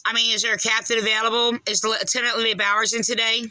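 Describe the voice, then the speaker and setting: Agitated female caller, sharp bright voice, close dry sound, rambling complaint. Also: fairly high pitch, little pitch movement, quick pace, no room sound, low-quality recording. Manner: rambling, insistent, repetitive. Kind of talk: phone complaint to police.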